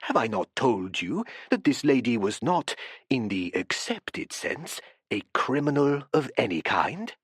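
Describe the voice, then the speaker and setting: A man reading an audiobook, voicing a courteous, probing male professor who speaks softly and gently presses with questions. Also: mid-range voice, sing-song, unhurried, breathy edge, no room sound, clean studio sound. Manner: soft, interested, courteous.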